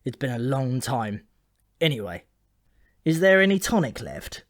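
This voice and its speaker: A man's voice: medium pitch, big pitch swings, a little slow, dry recording, clean studio sound. A man voicing an ancient, tipsy sulphur dwarf in an audiobook, grumbling and rambling in a gloomy, grudgingly friendly manner. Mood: confiding, warning.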